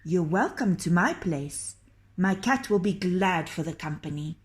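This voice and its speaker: A woman voicing a calm, unafraid male innkeeper in a children's storybook, unruffled and slyly understated. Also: fairly low pitch, unhurried. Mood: sly, welcoming. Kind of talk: storybook character voice.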